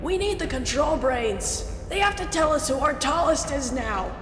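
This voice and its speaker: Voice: high voice, expressive, moderate pace, a bit bright, roomy sound, long reverb tail, faint music behind, pitched above the performer's own voice. A narrator voicing an earnest, composed female alien soldier who commands her troops in a read-aloud story. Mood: earnest, urging.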